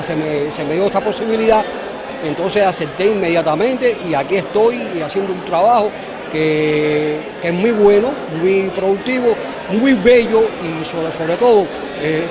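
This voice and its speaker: A man with a Cuban accent and a high voice gives an interview. A crowd is clearly audible behind him as he talks about his work.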